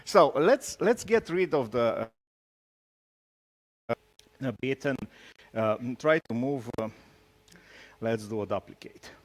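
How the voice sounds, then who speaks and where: A man from Romania with a Romanian accent gives an informal tech talk, speaking haltingly and teasing his audience with jokes. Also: medium pitch, expressive. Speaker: conference speaker, solution architect.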